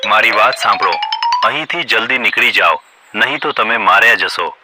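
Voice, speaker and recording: A man's voice: on the low side, expressive, moderate pace, natural voice. A man doing a sly scheming cartoon donkey. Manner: crafty, smooth-talking. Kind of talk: cartoon voice acting. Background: background music.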